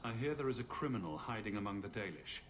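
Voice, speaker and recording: An actor playing a wary, plain-spoken champion in a fantasy video game, speaking in a matter-of-fact, skeptical way. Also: male voice, lowish voice, lively intonation, quick pace, a little hiss or hum, close to an everyday voice. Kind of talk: video game character acting.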